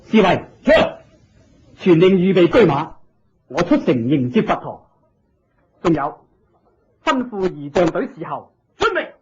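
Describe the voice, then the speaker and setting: Husky hong kong cantonese king in a radio play, eager n humble, very swoopy, dull recording. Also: sits high, unhurried, pauses often, heavily acted. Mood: commanding. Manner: deferential, expressive, full of exclamations. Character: humble, eager king seeking Buddhist teaching. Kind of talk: Cantonese radio drama acting.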